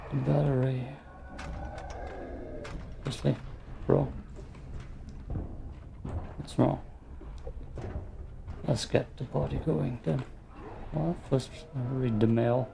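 A man's voice: medium pitch, steady tone, takes it slowly, vocal fry, long pauses, noisy background. A man with an Austrian accent casually commenting on a horror game as he plays, muttering frustrated asides to himself. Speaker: gameplay streamer. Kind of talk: casual let's play commentary.

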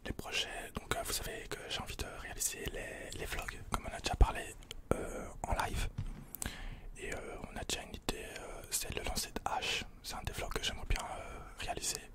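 A man, answering questions in an unhurried, breathy ASMR whisper close to the microphone. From Belgium. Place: small attic room indoors. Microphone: desk condenser microphone, close.

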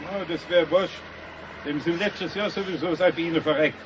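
An offhand rural woodsman in a radio play, acted by a man. His voice is high and colloquial, with faint outdoor ambience and dog sounds behind. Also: steady tone, moderate pace, background noise, natural voice. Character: unbothered male rural forest worker with dog. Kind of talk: rural German radio drama acting.

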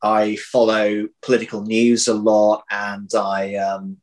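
A man's voice: lowish voice, monotone, slow pace, a little mellow. Man thinking aloud, hesitant and searching. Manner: hesitant, thinking aloud with many false starts and repeated words. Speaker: interview guest, audio drama writer. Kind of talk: casual interview chat. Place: home room, indoors. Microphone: computer video call.

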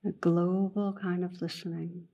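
A woman leading a guided meditation as a teacher, speaking gently and unhurriedly with long pauses between phrases. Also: fairly low pitch, little pitch movement, dull audio.

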